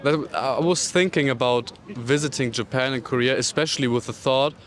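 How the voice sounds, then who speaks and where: Male tourist, mid-pitched, hesitant street interviewee, outdoors in a city. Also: fairly quick, a bit bright. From Germany. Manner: hesitant, many fillers and restarts. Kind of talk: casual street interview answers.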